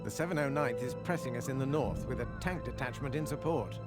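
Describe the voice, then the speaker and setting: A man's voice: mid-range voice, a little fast, over loud music, close to an everyday voice. A man playing a seasoned British military officer in a World War II game, clipped and authoritative. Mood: grave, focused. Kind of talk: video game voice acting, WWII briefing.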